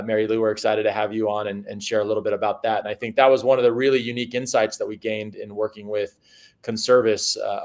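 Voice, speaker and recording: A man hosting a business webinar, halting and full of fillers as he introduces guests. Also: on the low side, a little mellow. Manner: halting, many fillers and restarts. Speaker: webinar host. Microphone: video call.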